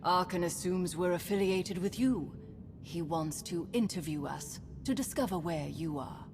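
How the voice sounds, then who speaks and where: A woman's voice: on the low side, a little slow, over music. An actress playing a poised, earnest exiled woman in a video game, gracious and formal in manner. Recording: long reverb, noisy ambience.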